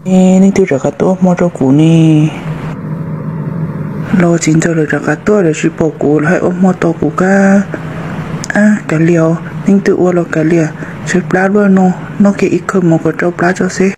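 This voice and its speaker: Man voicing a higher-pitched cartoon folk-tale character, noisy recording. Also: fairly even intonation, moderate pace, lightly acted. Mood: conversational. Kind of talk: amateur cartoon dialogue.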